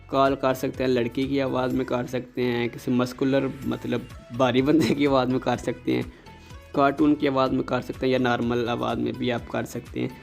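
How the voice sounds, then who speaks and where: A man's voice: mid-range voice, vocal fry. A man hosting a casual app tutorial, politely addressing his viewers directly as he explains each step. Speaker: host of a tech tutorial channel. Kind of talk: casual scripted-style app tutorial narration.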